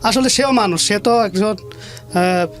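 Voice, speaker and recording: A man's voice: high-pitched, takes it slowly, faint music behind. A Bangladeshi man telling his life story as an interview guest, plain-spoken and colloquial, often repeating phrases. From rural Bangladesh.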